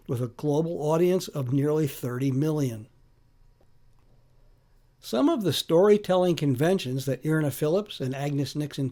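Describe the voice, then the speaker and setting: A man hosting a podcast, reading scripted documentary narration in a measured, deliberate way with emphasis on lists. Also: fairly high pitch, darkish tone.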